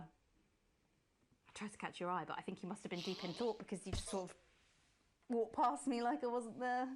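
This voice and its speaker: A woman playing a posh female TV presenter who signs off smoothly, then turns obsessive and pleading. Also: mid-range voice, a little hiss or hum, natural voice. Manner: polished, then pleading and unsettling. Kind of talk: late-night TV sign-off.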